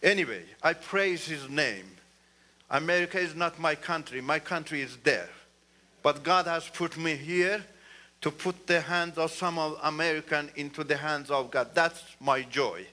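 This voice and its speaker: Man w/ giving church testimony, bright, kinda high voice, earnest. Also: measured pace. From Iran. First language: Turkish. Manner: earnest, repeats "you know", rises emphatically. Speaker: guest speaker.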